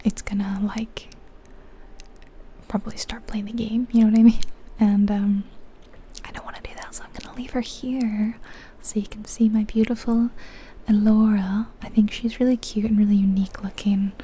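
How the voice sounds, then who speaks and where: Softly whispering woman, very dark and hushed. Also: mid-range voice, flat delivery. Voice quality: soft breathy whisper. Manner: gentle, unhurried, informal. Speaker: ASMR gaming video host. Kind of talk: whispered ASMR game commentary.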